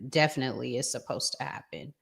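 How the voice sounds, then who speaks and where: An American woman hosting a podcast, discussing in a casual but measured, reflective way. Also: very deep voice, animated, vocal fry, a bit bright. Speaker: podcast host.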